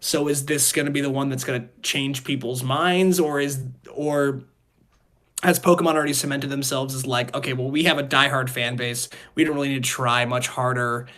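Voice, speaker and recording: A man's voice: mid-range voice, steady tone, normal speed, fairly bright tone. A man hosting a casual podcast discussion, rambling and repeating phrases as he poses questions. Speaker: podcast host.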